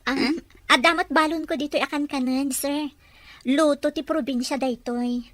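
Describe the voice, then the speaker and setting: An actress playing a polite, shy young provincial employee in an Ilocano radio drama. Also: female voice, sits high, steady tone, normal speed, a bit bright, dry recording. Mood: shy, friendly.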